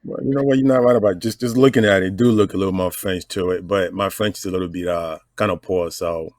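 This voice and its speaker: A man hosting a podcast interview in a relaxed, easygoing way, often chiming in with short agreements. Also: deep voice, fast talker, no room sound, studio quality. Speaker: podcast host. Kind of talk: casual interview.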